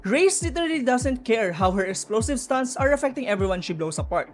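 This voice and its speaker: A woman with a fairly high voice narrates a lore breakdown over background music. She is chatty and informal, weighing theories and addressing her viewers directly. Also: expressive, a little fast. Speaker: video narrator explaining game lore. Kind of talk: informal explainer commentary. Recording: music under the voice.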